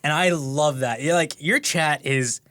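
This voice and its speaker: Bright-voiced chatty man, dry room. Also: fairly high pitch, measured pace, no echo. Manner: chatty, acts out his own thoughts in quotes. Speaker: podcast co-host. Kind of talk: casual chat.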